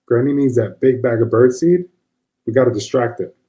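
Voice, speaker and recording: A man's voice: mid-range voice, moderate pace, dark tone, close to an everyday voice. A man voicing a no-nonsense older witch grandmother, folksy and commanding, in an audio horror story. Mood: urgent, commanding.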